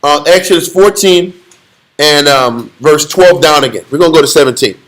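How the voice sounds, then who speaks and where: A man's voice: fairly high pitch, normal speed, a bit bright, low-quality recording. A man leading a casual Bible study in a blunt, joking, slangy way.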